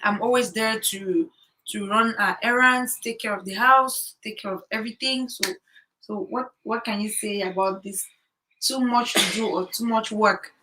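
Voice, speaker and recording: A woman's voice: medium pitch, moderate pace, bright, forceful tone, slight background noise, poor audio. A woman talking casually about life as a new mother, chatty with many fillers and repeated words. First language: English. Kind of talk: casual chat. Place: home in Korea.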